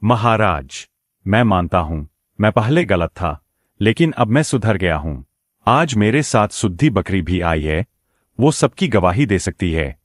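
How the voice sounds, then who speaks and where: Man as a cartoon wolf, low voice, sly, oily false friendliness, swooping theatrical delivery, scheming. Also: expressive, measured pace, no room sound, clean studio sound. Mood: pleading. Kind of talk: cartoon moral-story acting.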